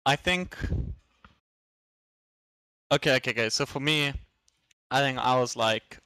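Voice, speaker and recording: A man's voice: medium pitch, a little slow, sharp tone, smooth, dry recording. A young man with a South African accent chatting casually as a podcast guest, in a hesitant, repetitive, slangy way. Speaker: podcast guest, esports player. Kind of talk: casual chat.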